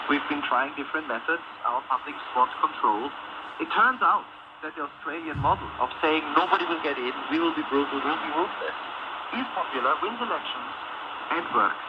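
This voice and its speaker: A man with a slightly high voice argues emphatically as a panel guest. The sound is dull and muffled, buried in loud shortwave static. He stresses his points by repeating phrases. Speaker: panel guest, migration policy commentator. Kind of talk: broadcast panel discussion. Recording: muffled shortwave radio, heavy static noise.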